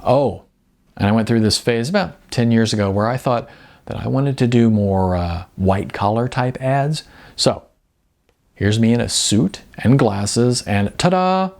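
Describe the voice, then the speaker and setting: A man's voice: mid-range voice, a little slow, gravelly, darkish tone. A man voicing a good-natured, self-deprecating model in his forties, hesitant and full of ums, in an audiobook. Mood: chatty, self-deprecating. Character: modest, good-natured balding 40-something male commercial model. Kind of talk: audiobook character dialogue.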